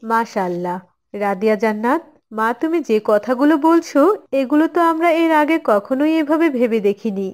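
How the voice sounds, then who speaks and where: A woman's voice: a little high, expressive, normal speed, a little mellow, close to an everyday voice. A woman voicing an admiring auntie in a moral cartoon, in scripted dialogue with a child. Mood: amazed admiration.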